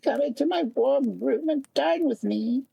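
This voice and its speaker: High-voiced kindly old field mouse. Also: measured pace, creaky, dark tone, heavily acted. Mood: kind, welcoming. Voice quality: thin, high-placed voice.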